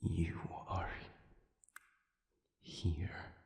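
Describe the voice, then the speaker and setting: A man playing an anguished demon who has lost his memory, in an intimate audio roleplay, speaking in halting, gravelly half-whispers with formal wording. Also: low voice, flat delivery, a little mellow, echoey room, full character voice. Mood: anguished, conflicted. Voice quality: gravelly, breathy.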